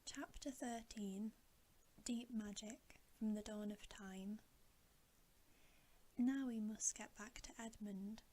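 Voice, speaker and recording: A woman narrating a bedtime story as ASMR in a breathy whisper. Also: mid-range voice, fairly even intonation, takes it slowly, long pauses, mellow. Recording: faint background noise.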